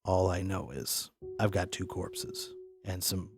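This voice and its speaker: Man acting a deep, weary police inspector. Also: level tone, takes it slowly, gravelly, exaggerated voice.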